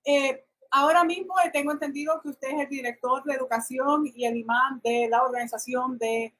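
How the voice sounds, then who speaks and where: A woman's voice: sits high, fairly even intonation, fairly quick, fairly bright tone, poor audio. A woman hosting a radio show in Spanish and interviewing a guest.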